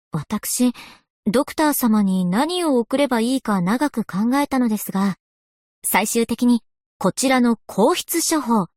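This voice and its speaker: A woman's voice: a little high, a little mellow, dry recording, clean studio sound. A woman voicing a polite, scholarly young historian in a game, speaking with formal, refined courtesy. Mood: earnest. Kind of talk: scripted game character voice lines.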